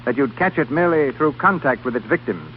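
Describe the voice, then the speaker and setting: A man's voice: mid-range voice, expressive, a little fast. A man hosting in a formal, dramatic 1930s radio style, declaiming scripted narration. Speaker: radio show host. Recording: dull, noisy vintage radio broadcast.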